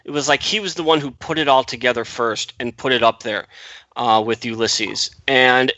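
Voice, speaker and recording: Bright-voiced man musing about books. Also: mid-range voice, moderate pace, no room sound, highs cut off. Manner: thoughtful, rambling. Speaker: book club member. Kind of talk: casual discussion.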